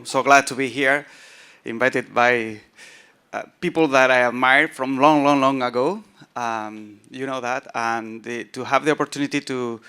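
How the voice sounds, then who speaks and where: A man with a southern Spanish accent speaks as a presenter at a technical conference. His voice is clean and resonant, at a medium pitch. He talks informally and haltingly, often repeating words as he goes. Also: pushed and bright, clear, resonant tone. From southern Spain. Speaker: conference speaker, software founder.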